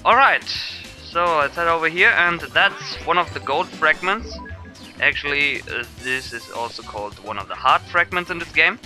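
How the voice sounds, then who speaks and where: A German-accented man gives loose let's-play commentary in a very bright, cutting voice. He rambles and hesitates, filling gaps with ums and uhs. Faint game music plays in the background. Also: a little high, lively intonation, moderate pace. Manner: rambling, hesitant with many fillers. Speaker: let's player. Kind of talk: casual gameplay commentary.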